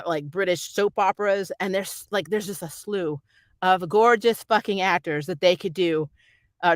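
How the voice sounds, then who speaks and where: A woman's voice: fairly low pitch, fairly bright tone, no room sound. A middle-aged woman chatting casually and off the cuff as a review host, rambling and candid, swearing freely and often chuckling. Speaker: host of a TV and film review channel; actor who auditions. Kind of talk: casual unscripted livestream chat.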